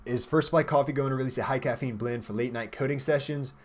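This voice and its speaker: A man's voice: mid-range voice, steady tone, fast talker. A man in his twenties hosting a livestream, chatting informally and thinking aloud as he reads and answers viewer questions. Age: 20s. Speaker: livestream host, software developer.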